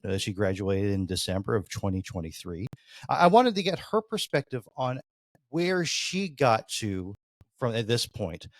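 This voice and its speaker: A man's voice: medium pitch, dry recording. A man hosting a podcast interview, asking long, rambling questions full of "you know" fillers. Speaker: podcast host.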